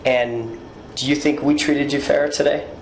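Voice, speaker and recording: A man's voice: mid-range voice, fairly even intonation, unhurried, vocal fry. A man working as a police interrogator, questioning someone in a probing way and repeating answers back in a recorded interview. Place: indoor police interview room. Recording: echoey room with background noise.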